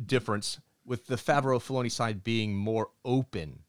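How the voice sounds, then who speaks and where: A middle-aged man co-hosting a casual podcast, talking in an animated, opinionated way and acting out quotes in other voices. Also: medium pitch, moderate pace, clean tone. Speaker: podcast co-host.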